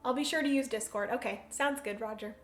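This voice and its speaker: A woman's voice: on the high side, brisk, soft background music. A woman hosting a solo board game livestream, chatty, thinking aloud and laughing often. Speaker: host. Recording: roomy room echo, faint hum.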